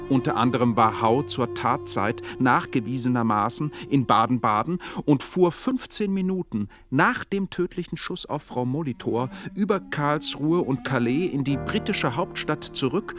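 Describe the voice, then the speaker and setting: Lively-pitched male narrator over music. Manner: formal, factual reading. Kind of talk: scripted narration of a radio drama.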